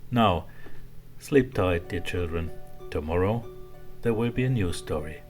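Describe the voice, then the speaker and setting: A man narrating a children's bedtime story in a simple, expressive way for small children. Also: low voice, unhurried, faint music behind.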